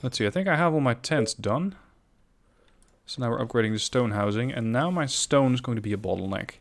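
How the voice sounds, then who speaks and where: A man's voice: mid-range voice, animated, fairly quick. A man giving casual, explanatory let's play commentary, thinking aloud as he goes. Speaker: gaming YouTuber. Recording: clear game noise behind commentary. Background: video game sounds.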